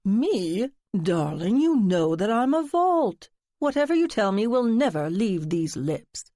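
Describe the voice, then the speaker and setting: A woman's voice: medium pitch, sing-song, unhurried, warm tone, no room sound, clean studio sound. A woman voicing a flamboyant, theatrical man. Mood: theatrical, gushing. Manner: theatrical, drawling "darling", flourishing. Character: flamboyant dramatic man, gossipy theater type. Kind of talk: audiobook character voice.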